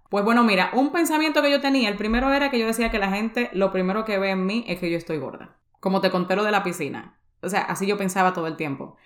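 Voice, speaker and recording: A woman's voice: on the low side, reverberant. A young Dominican woman with a Dominican accent hosting her own podcast, telling her story in a candid, confessional way and mixing English words into her Spanish. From Dominican Republic. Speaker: podcast host. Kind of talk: personal podcast monologue.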